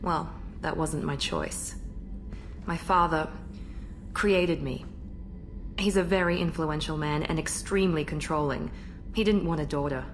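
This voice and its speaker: An actress playing a composed female operative in a video game, with a crisp, controlled delivery. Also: low-pitched, measured pace, pauses often, faint music behind. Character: cool, composed female operative, loyal and precise.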